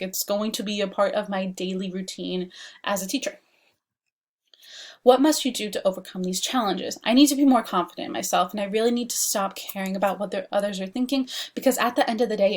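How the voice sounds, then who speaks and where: A young woman from Washington DC talks through a class assignment into her laptop webcam at home. She keeps it loose and chatty, leaning on "like" and "um" as she goes, at a middling pitch. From Washington DC, United States. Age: young adult. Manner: chatty, frequent "like" and "um" fillers. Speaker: student presenting an assignment. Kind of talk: casual recorded class presentation. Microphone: laptop webcam mic.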